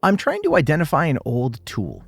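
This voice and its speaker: A man's voice: fairly high pitch, wildly swooping pitch, moderate pace, creaky, husky, no room sound, faint music behind, voice pushed up, exaggerated voice. A man playing a wary drifter who bluffs in a casual, coaxing way, in an audio horror story. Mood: hesitant, curious. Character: wary male drifter bluffing, eager for answers.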